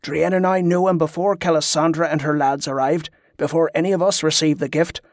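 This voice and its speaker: A plain-spoken man in a fantasy audiobook, voiced by the narrator. He reminisces in a measured, reflective manner at a middle pitch. The sound is close and dry. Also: steady tone, no echo, natural voice. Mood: wistful.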